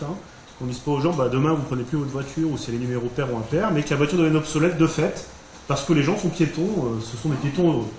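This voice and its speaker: A man speaking French presents his group's results to a room, thinking aloud with frequent fillers and restarts. His voice sits a little high and rings with room echo. Faint hiss lies underneath. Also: a little mellow. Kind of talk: informal presentation.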